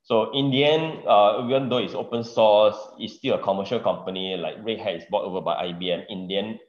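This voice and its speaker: A deep-voiced man with a Singaporean English accent talks through tech news in a casual, halting way, full of fillers and "right?" tag questions. He is on a video call from a room that sounds roomy, and the audio is dull. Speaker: meetup presenter.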